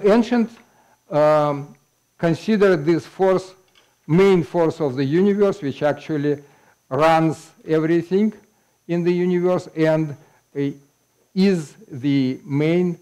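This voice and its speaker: An older man with a Russian accent giving an unhurried, explanatory lecture to a small audience. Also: fairly high pitch, darkish tone, rough recording. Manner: unhurried, explanatory, with occasional laughs. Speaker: guest lecturer. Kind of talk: public lecture. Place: small meeting room with audience. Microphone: headset mic.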